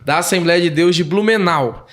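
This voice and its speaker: Higher-voiced joking co-host. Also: male voice. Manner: quick-witted, joking. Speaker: podcast co-host. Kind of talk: casual podcast chat.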